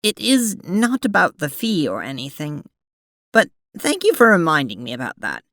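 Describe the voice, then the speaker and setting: A woman playing a prim, earnest young alien troll in an audio drama, speaking formally and haltingly with an awkward, apologetic manner. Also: low-pitched, wildly swooping pitch, fairly bright tone, no room sound, heavily acted. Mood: hesitant, deflated.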